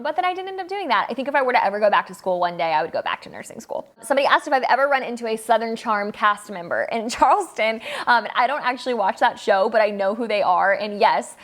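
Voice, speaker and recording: A woman in her mid-twenties answering viewer questions in a casual vlog, upbeat and chatty, laughing often between her answers. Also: mid-range voice. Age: 20s. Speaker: photographer and vlogger. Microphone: camera mic, filmed by a friend.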